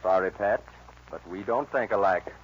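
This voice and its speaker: An actor playing a cocky young American outlaw gunfighter, laconic and folksy, in a 1940s radio western. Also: male voice, on the low side, moderate pace, close to an everyday voice. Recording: dull, hissy old radio recording.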